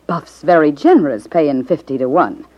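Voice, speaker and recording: A woman's voice: low voice, lively intonation, normal speed. An American actress playing a shrewd, cocksure, wisecracking gangland woman in a 1950s radio crime drama. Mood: cocky, scheming. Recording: dull 1950s radio recording.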